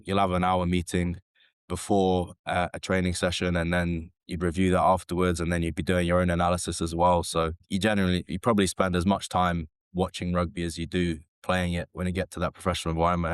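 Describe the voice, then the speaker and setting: A man, a former rugby sevens player, talking casually as a podcast guest in a relaxed, rambling way with lots of fillers. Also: low-pitched, clear, resonant tone, dry recording, studio quality. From England.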